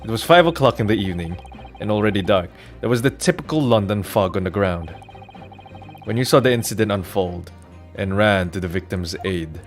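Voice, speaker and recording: A man reads as an accusing lawyer in a courtroom game. The voice is fairly low, deliberate and formal. Music plays quietly behind. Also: expressive, lightly acted.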